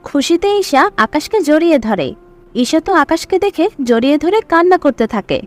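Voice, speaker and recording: High, animated female story narrator. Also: moderate pace.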